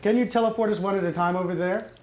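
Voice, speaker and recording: A man's voice: high voice, steady tone, quick pace, darkish tone. A man taking part as a player in a tabletop game, talking casually through his choices. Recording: echoey room.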